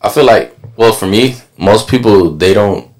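Man from Puerto Rico, very low voice, barber, casual, says "like" a lot. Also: lively intonation, normal speed, a little mellow, poor audio. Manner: loose, conversational, frequent "like". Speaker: barber, podcast panelist. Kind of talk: casual panel chat.

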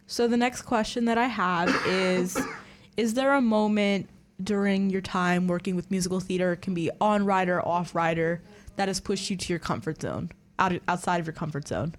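A woman's voice: fairly low pitch, normal speed, a little hiss or hum. A woman hosting a radio interview show, asking questions and reading the station break. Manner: brief encouraging interjections, reads station breaks.

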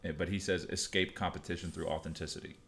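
A man who coaches shooting, talking casually on a podcast in a halting, laid-back way full of restarts and fillers. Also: low voice, flat delivery, a bit bright.

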